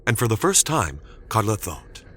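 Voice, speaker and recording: A man narrating a scripted story dramatically, with suspenseful, deliberate phrasing. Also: lowish voice, big pitch swings, sharp tone, slight background noise.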